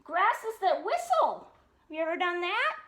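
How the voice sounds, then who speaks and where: Very high-pitched woman reading to children. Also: reverberant. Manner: sing-song, expressive, pauses to question young listeners. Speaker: storytime reader. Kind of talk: animated read-aloud of a children's picture book with chatty asides.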